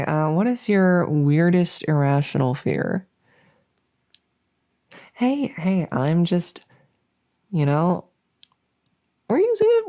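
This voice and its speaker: A woman's voice: lowish voice, warm tone. A woman voicing a silly, awkward monster who lives under a bed, rambling and stammering earnestly in a comedic ASMR roleplay. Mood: defensive, awkward. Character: silly, awkward female monster living under a bed, bungling her job of scaring. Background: writing sounds.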